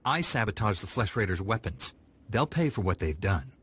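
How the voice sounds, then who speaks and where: A man's voice: fairly low pitch, sing-song, normal speed, fairly bright tone, husky, full character voice. An actor playing a terse, resolute young male Jedi knight in a game cutscene. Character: dark-side male Jedi knight, blunt. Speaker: player character, Jedi knight.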